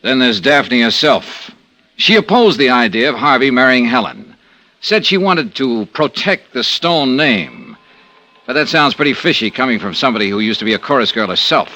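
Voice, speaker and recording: An American actor playing a dogged, wry insurance investigator with a conscience in a 1950s radio detective drama. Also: male voice, medium pitch, lively intonation, normal speed, bright, forceful tone. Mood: pondering. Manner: wry, insistent, hard-boiled delivery. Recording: noisy old radio drama recording.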